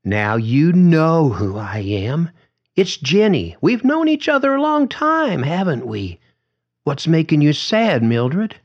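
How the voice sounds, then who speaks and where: A gentle middle-aged care-home aide, voiced by a male narrator, coaxing and soothing in a folksy way. The pitch is lifted and swings freely in this audiobook dialogue, on a slightly dull recording. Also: very animated, takes it slowly, exaggerated voice. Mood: gentle, consoling.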